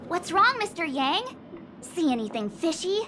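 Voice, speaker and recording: A bright, lively young companion. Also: female voice, very high-pitched, normal speed. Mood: curious. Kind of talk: game voice acting. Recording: game cutscene with music behind.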